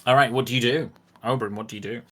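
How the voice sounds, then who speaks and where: A man acting as game master, improvising narration for a tabletop roleplay game in a halting, amused way. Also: medium pitch, fairly even intonation, pushed and bright.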